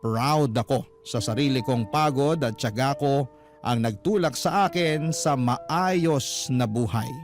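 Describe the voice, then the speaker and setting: A sincere Filipino man, voiced by an actor, narrates his love story in a mid-pitched voice. He sounds confiding and wistful, radio drama style, over faint music. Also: natural voice. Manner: confiding, earnest.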